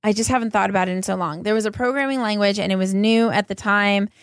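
A woman's voice: medium pitch, fairly even intonation, fast, no echo, studio quality. A woman with an American accent, hosting a casual interview in an enthusiastic, informal, chatty way. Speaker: podcast host.

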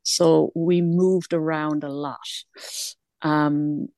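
A woman's voice: sits low, little pitch movement, extremely slow, warm tone, dry recording. A woman born in England, now living in Ireland, answering interview questions in a reflective, hesitant way with many restarts and small laughs. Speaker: guest.

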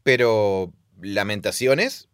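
A man's voice: fairly low pitch, takes it slowly, a bit bright, clean tone, no echo, clean studio sound. A man co-hosting a conversational podcast, explaining ideas in a thoughtful, teacherly way and laughing easily. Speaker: podcast co-host, Bible teacher.

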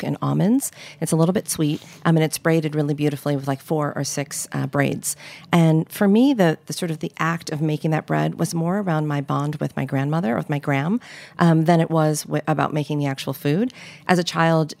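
A middle-aged woman from Northern Michigan, a podcast guest telling relaxed, wry stories about growing up. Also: low-pitched, vocal fry, no echo. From Northern Michigan, United States. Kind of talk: conversational interview storytelling.

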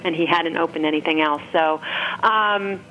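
A woman's voice: sits low, normal speed, lo-fi sound. A woman chatting casually as a podcast guest, in a rambling, informal way. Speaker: podcast guest, food writer. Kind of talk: casual chat.

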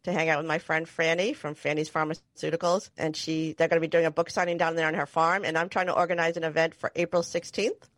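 A woman's voice: deep voice, level tone, fast talker, hardly any pauses, no room sound. A middle-aged woman from New England hosting a podcast over a video call, chatty and laughing often. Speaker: podcast host. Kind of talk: casual podcast chat and ad read.

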